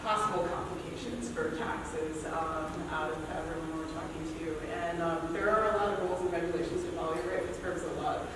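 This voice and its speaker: A man's voice: fairly high pitch, steady tone, fairly quick, hardly any pauses. A man speaking on a library panel, explaining in a conversational way with frequent ums. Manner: conversational, thinks aloud with ums. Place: library event room. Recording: echoey hall, mic far off, noisy.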